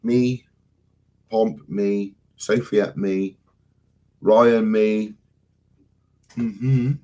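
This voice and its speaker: A man's voice: on the low side, fairly even intonation, drawn-out words, dark tone. A man hosting a casual live stream, rambling, swearing often and losing his thread.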